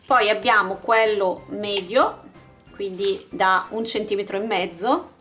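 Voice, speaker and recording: A woman's voice: medium pitch, fairly even intonation, unhurried, fairly bright tone. A woman hosting a craft channel, casually narrating an unboxing and presenting items one by one in an informal way. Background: background music.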